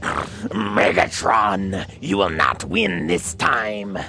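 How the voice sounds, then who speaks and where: A man voicing a proud, angry robot raptor warrior in a radio drama, with a gravelly growl and formal, menacing delivery. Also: low-pitched, lively intonation, very slow, pushed and bright, faint music behind, heavily acted. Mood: enraged, defiant. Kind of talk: radio drama cartoon acting.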